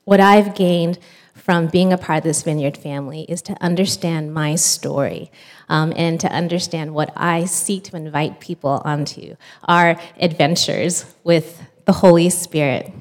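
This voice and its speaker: A woman's voice: low voice, fairly even intonation, measured pace. A woman in her thirties from the United States, a pastor telling her faith story in a chatty, storytelling way with fillers and small laughs. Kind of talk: church talk, personal testimony.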